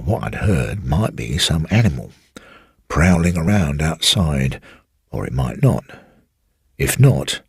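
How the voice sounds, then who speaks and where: Man acting a husky, low-voiced old English manservant. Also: no room sound, clean studio sound, heavily acted. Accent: English, rustic working-class. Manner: slow, insinuating, colloquial storytelling. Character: sly elderly former manservant, evasive and brooding, recounting a haunting.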